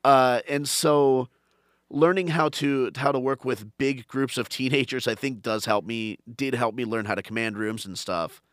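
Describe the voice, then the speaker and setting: A man who hosts a comedy podcast, telling stories in animated casual chat and acting out crowd voices. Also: medium pitch, moderate pace, dry recording, studio quality. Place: podcast studio.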